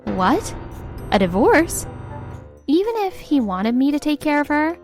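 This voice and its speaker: A woman's voice: high voice, big pitch swings, unhurried, full character voice. An actress playing a fed-up young wife who narrates her own story in an exasperated, sarcastic way, with faint music behind. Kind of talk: scripted narration.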